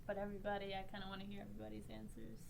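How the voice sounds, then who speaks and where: A woman with a mid-pitched voice hosts a casual podcast discussion. She sounds informal and easygoing, with a faint room ambience behind her. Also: monotone, racing, gravelly, slight background noise. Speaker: podcast host and moderator. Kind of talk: casual chat, moderating questions.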